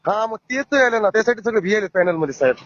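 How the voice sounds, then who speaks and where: A man's voice: high voice, lively intonation, fast talker, a bit bright. A man speaks deferentially in an informal phone conversation, as a local party worker would to his seniors. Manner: deferential, frequently addressing the listener as sir. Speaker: local party booth chief seeking a ticket. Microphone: phone call. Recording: muffled recorded phone call.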